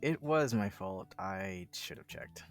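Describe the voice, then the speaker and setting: A man's voice: low voice, animated, takes it slowly. A man reading visual novel narration and dialogue aloud in a casual way, with slangy reactions. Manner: informal, slangy asides. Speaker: co-reader in a visual novel let's play. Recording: faint music behind the voice. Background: faint guitar music.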